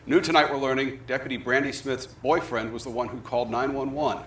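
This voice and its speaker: A man's voice: mid-range voice, steady tone, a little fast, fairly bright tone, a little hiss or hum. An American man reading scripted broadcast news as a TV anchor.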